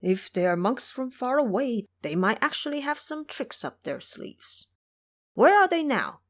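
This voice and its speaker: A woman's voice: sits high, sing-song, measured pace, full character voice. A woman voicing an irritable, anxious old male country squire, scolding and fretful, in an audio drama. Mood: hopeful.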